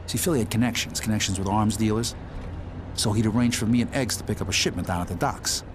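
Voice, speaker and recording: An actor playing a bitter, foul-mouthed mobster, narrating a video game story in gruff, cynical street slang. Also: male voice, on the low side, fast, noisy background, natural voice. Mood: bitter, wary.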